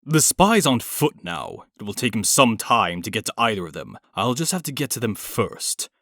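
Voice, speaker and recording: A man playing a cold, commanding middle-aged villain in a comic dub, haughty and measured. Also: fairly low pitch, sing-song, bright, forceful tone, no echo, studio quality, heavily acted. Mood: calculating, grim. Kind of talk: dramatic comic dub acting. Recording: music behind the voice.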